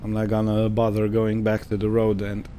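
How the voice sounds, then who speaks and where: Low, mellow man thinking aloud. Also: completely flat delivery, normal speed, slight background noise. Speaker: gamer narrating own farming simulator playthrough. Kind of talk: casual let's-play commentary, thinking aloud.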